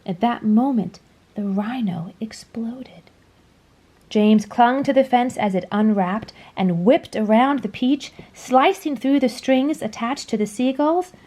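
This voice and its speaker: A woman reading a children's story aloud in a dramatic, deliberate storytelling manner. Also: a little high, mellow. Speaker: storybook reader. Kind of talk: scripted children's story read-aloud, expressive.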